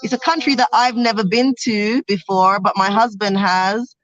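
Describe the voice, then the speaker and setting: A woman's voice: medium pitch, steady tone, moderate pace, fairly bright tone, dull audio. A young woman chatting casually on a livestream from a car, reading viewer comments aloud. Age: young adult. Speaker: vlogger. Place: inside a car on a road trip.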